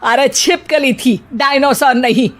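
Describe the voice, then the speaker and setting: Woman acting a bossy, high-pitched mother-in-law. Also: measured pace. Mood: sarcastic scolding. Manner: scolding, sarcastic, exclamatory. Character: bossy, sarcastic, easily frightened middle-aged Indian mother-in-law. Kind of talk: cartoon voice acting.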